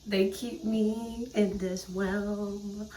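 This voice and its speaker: A woman rambles through a casual vlog on her camera mic, slow and drawn out. She is playful and self-mocking and drifts into sing-song bits. The bedroom around her sounds echoey. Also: medium pitch, fairly even intonation, dark tone, slight background noise. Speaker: vlogger.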